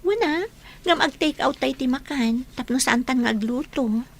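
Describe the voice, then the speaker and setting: A woman's voice: high voice, moderate pace, slight background noise. A woman acting a coaxing, inviting young sweetheart in a radio drama.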